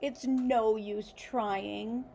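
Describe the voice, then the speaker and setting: An earnest, insecure female zero from a children's storybook, longing to belong , acted out by a woman reader. The voice is a little high and creaky, with emotive, childlike phrasing and faint noise behind it. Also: fairly even intonation, very slow, dark tone, exaggerated voice. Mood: tearful despair.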